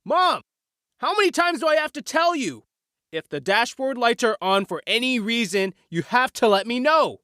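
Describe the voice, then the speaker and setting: Man as aggrieved teenage boy in audio drama: sarcastic, animated, high for a male, dry recording. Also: normal speed, clear, resonant tone, no echo, full character voice. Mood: exasperated yelling.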